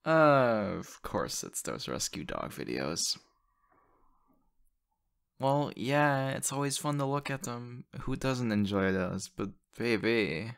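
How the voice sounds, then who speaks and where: A man playing an excitable, affectionate young boyfriend in an intimate ASMR audio roleplay, talking haltingly and teasing playfully. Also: mid-range voice, exaggerated voice. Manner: halting, repeats words, breathy laughs and sighs.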